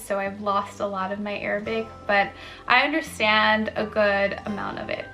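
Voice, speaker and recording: A woman's voice: mid-range voice, fairly bright tone. A woman in her twenties chatting casually to camera as a lifestyle vlogger, full of "like" and "um" fillers. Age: 20s.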